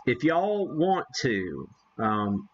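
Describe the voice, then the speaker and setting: A man's voice: mid-range voice, big pitch swings, extremely slow, muffled recording. A man hosting a casual live hobby demonstration, chatting in a folksy, self-deprecating way. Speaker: live stream host, amateur radio hobbyist.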